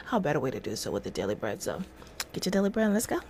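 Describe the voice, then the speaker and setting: A woman's voice: low voice, sing-song, racing, darkish tone, a little hiss or hum. A middle-aged woman hosting a casual devotional livestream, reading aloud and adding informal, chatty asides. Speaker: livestream host. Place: small indoor room at home.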